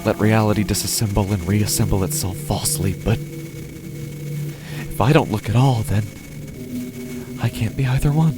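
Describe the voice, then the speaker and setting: A low-pitched man acted as an anxious office worker who is coming apart. He tells his own horror story in an audio drama, in short, intense, broken phrases. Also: unhurried, pauses often, music in the background, lightly acted. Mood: uneasy reasoning. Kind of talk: first-person horror audio drama monologue.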